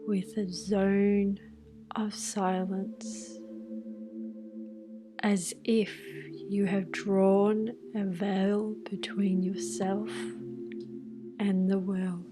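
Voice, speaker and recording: A woman guiding a scripted meditation in a hushed, soothing manner, leaving long pauses between phrases. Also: mid-range voice, flat delivery. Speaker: meditation guide. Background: music with singing bowls.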